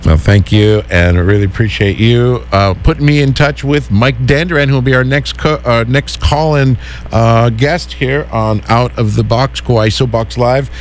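A man hosting a community radio call-in show, talking in a relaxed, hesitant way with many fillers. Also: medium pitch, normal speed, slight background noise. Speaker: radio host.

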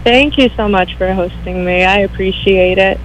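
A woman calling in to a radio show to promote an event, speaking in an upbeat, brisk way. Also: mid-range voice, slight background noise, poor audio. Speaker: event organizer, caller. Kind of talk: phone-in promotion. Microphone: phone line.

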